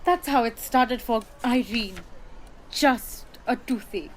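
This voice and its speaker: A young woman acting an anguished, stubborn young factory worker in a high school radio drama. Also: slow, a little hiss or hum, low-quality recording. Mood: bitter, warning.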